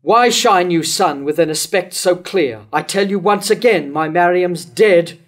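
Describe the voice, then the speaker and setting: An older man playing a grief-stricken, remorseful tyrant king in a staged reading of verse tragedy, declaiming in measured lines. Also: high-pitched. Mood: remorseful despair. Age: older, around 70. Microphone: lectern microphone.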